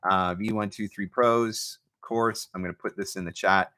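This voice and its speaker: A man hosting a live question-and-answer advice session, speaking as a coach in an emphatic, deliberate way and repeating his key points. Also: fairly low pitch, fairly even intonation, sharp tone. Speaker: host, voice-over marketing coach. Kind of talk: live Q&A advice talk, reading out viewer questions.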